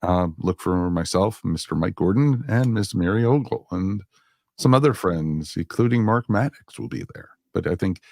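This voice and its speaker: A man's voice: low-pitched, expressive, moderate pace, gravelly, darkish tone, no room sound. A man co-hosting a casual fan podcast, chatting in a wry, mildly sarcastic way. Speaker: podcast co-host.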